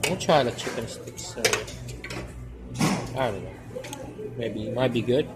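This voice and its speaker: A man casually walking through a restaurant buffet, musing to himself as he fills his plate. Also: medium pitch. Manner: slow, musing, talks to himself while picking food. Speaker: vlogger. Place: restaurant buffet line, indoors. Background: loud background music, clinking dishes.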